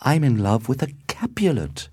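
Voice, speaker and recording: A man's voice: on the high side, wildly swooping pitch, normal speed, mellow, husky, dry recording, clean studio sound, pitched above the performer's own voice, full character voice. A man voicing a lovestruck, earnest young nobleman in a storybook, with an exclaiming manner. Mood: smitten, earnest.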